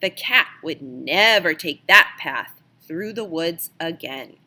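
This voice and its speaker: A woman reading a children's picture book aloud as an expressive storyteller, with dramatic emphasis. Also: low-pitched, takes it slowly. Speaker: storybook reader.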